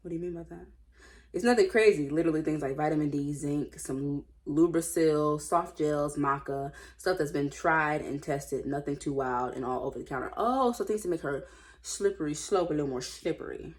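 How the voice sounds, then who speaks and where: A woman's voice: low voice, darkish tone. An American woman casually reading stories aloud with informal, sassy and blunt running commentary.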